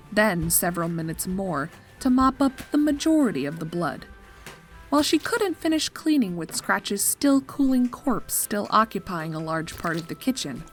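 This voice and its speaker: Low-voiced woman narrating a story. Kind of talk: scripted dramatic storytelling narration. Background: music.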